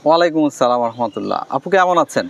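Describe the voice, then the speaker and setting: A Bangladeshi man works as an interviewer and host. His pitch is average for a man and his pace is fast. He speaks in the formal style of a presenter introducing and questioning a guest. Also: lively intonation, creaky.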